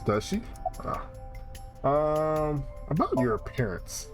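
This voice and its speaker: A man's voice: fairly high pitch, sing-song, slow pace, over music. A man hosting a let's play, thinking aloud in casual, rambling, slangy commentary.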